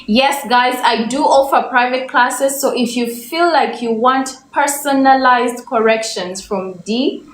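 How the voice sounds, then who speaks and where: A young woman from Uganda teaching English on a livestream. She stretches out her example sentences and keeps asking viewers for the correct answer. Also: a little high. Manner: slow, drawn-out example sentences, repeated questions to viewers. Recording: echoey room, faint background noise.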